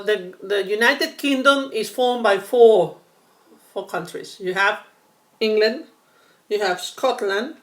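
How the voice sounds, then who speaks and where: A woman from Peru teaching an online English class, slow and patient, often checking in with "no?". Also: medium pitch. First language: Spanish. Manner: slow and patient, keeps asking "no?".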